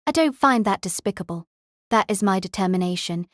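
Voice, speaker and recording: A narrator voicing a calm, emotionless young woman who teases in a flat, even tone. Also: medium pitch, a little fast, no room sound, clean studio sound, voice pushed up, natural voice. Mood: cool.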